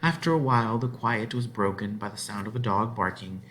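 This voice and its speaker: A man narrating a children's book aloud in an expressive storytelling style, with dramatic phrasing and drawn-out words. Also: fairly low pitch.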